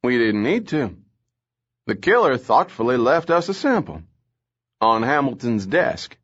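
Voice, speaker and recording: A man's voice: mid-range voice, sing-song, unhurried, a bit bright. A man reading an audiobook, voicing an irritable, sleepy male police lieutenant with a slurred, grumbling manner. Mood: grimly talkative. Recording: slightly dull lo-fi audio.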